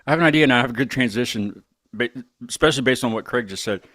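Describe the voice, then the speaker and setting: A man's voice: medium pitch, very fast, fairly bright tone. A man hosting a casual music interview, chatting in a playful, joking way. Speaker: show host.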